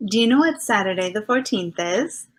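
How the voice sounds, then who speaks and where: A woman's voice: mid-range voice, animated, moderate pace, lightly acted. A woman playing a teasing, affectionate detective's wife in 1940s radio acting style. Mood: playful teasing. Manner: playful, theatrical.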